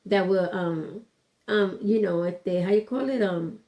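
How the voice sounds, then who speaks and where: A woman's voice: medium pitch, a little slow, warm tone. Frank woman in blunt casual chat. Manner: blunt and frank, with trailing hesitations. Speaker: podcast co-host.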